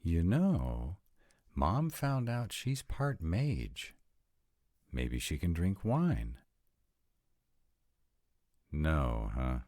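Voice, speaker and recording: A very deep, dark-toned male vampire, acted by a man for a roleplay audio drama. He is sarcastic and grudgingly devoted, with lively swings in his low voice and a dry, reluctant delivery. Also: drawn-out words, long pauses, no room sound, full character voice. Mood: incredulous. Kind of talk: scripted roleplay audio drama, one side of a conversation.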